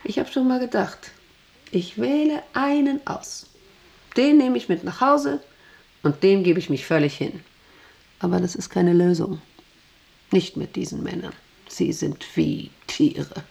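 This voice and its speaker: Imperious, gravelly female factory boss. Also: lowish voice, lively intonation, unhurried, long pauses, exaggerated voice. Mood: fervent, agitated. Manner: imperious, intense, swinging between hushed and vehement. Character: proud, volatile female factory boss, obsessed with power. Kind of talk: German radio drama acting.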